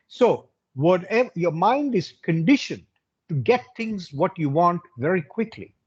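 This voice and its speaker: An older man in his sixties speaks with big swings of pitch and a rough, husky edge. He is emphatic, restating key phrases as he motivates young students. The sound is slightly dull and narrow. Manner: emphatic, repeats phrases for stress. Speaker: hotel general manager, guest speaker.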